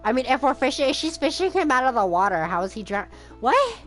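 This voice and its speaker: A woman's voice: high-pitched, sing-song, quick pace. A woman streaming a video game, chatting casually with wry asides as she reacts. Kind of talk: casual playthrough reactions, some reading aloud. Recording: game music behind voice.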